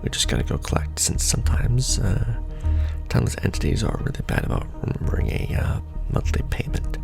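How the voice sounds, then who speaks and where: A man playing a jaded secret-society official in an ASMR roleplay, briefing a recruit in a soft, deadpan, rambling, sardonic murmur. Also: deep voice, fairly quick, gravelly. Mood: rambling, wry. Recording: background music under the voice. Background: background music.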